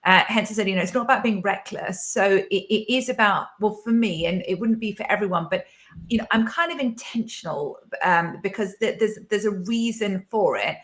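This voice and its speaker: A woman's voice: mid-range voice, moderate pace, a bit bright. A woman in her forties telling a personal story in a candid podcast chat, open and full of small restarts. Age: 40s. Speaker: podcast host.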